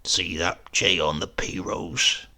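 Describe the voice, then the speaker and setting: Storybook great-uncle: aged rustic Englishman, gravelly, breathy, grumbling, sly and feisty to the end. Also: deep voice, steady tone, takes it slowly, bright, forceful tone, pitched below the performer's own voice, exaggerated voice. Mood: sly, conspiratorial. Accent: rural English. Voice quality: croaking, breathy, weary.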